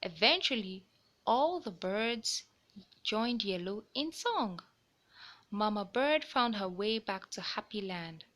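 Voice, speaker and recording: A woman reads a picture book aloud for children at a very slow, deliberate pace. Her voice sits at a middle pitch, and she explains things simply as she hosts. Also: animated, a bit bright. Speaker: host of a children's read-aloud show. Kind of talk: children's storybook read-aloud.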